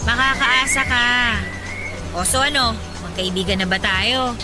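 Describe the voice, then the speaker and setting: Woman acting an earnest high-voiced young friend, music behind. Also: measured pace, close to an everyday voice. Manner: earnest, reassuring. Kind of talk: Tagalog audio romance drama acting. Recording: loud music bed under the voice.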